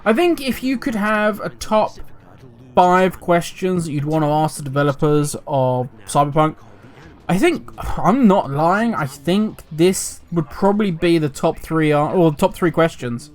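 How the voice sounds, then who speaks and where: A man's voice: fairly high pitch, a little slow. A man hosting his gaming channel, chatting casually and reading a news article aloud with self-mocking asides. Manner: animated, self-mocking, jumps between loud asides and quiet mutters.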